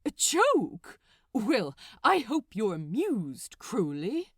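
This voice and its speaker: A woman's voice: a little high, huge pitch swings, extremely slow, slightly rough, no echo, clean studio sound, full character voice. A woman voicing a wounded, reproachful male angel in a dramatized story reading. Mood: bitter, wounded. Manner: reproachful, pained. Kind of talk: dramatized fan fiction reading.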